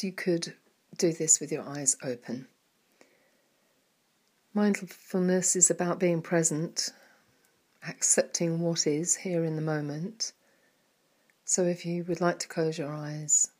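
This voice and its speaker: A woman guides a mindfulness meditation in an unhurried, gentle way. Her voice sits a little low, and she leaves long, calm pauses between phrases. Now and then a word drops away into a breathy whisper. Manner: soft and calm, some words trailing into a whisper.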